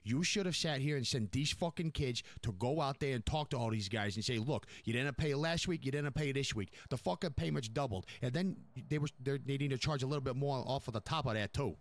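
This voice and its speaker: A man's voice: medium pitch, fairly quick, hardly any pauses, no echo, lightly acted. A man playing a furious, domineering New York mob boss in an online game roleplay, browbeating and repeating himself with heavy profanity. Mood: angry, demanding.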